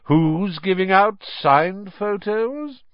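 A man voicing a pompous, jovial, self-satisfied wizard celebrity in an audiobook, booming and patronising. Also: lively intonation, extremely slow, dry recording, dull audio, heavily acted. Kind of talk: audiobook character voice.

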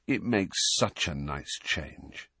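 A man's voice: a bass voice, expressive, slow pace, gravelly, sharp tone, husky, no room sound, pitched below the performer's own voice, exaggerated voice. A man voicing a mischievous teenage boy with a wry, teasing manner.

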